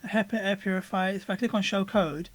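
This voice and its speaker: A hoarse man reviewing a software tool, casually talking through a screen recording with frequent fillers. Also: high voice, brisk. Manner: relaxed, conversational, with frequent fillers. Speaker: product reviewer.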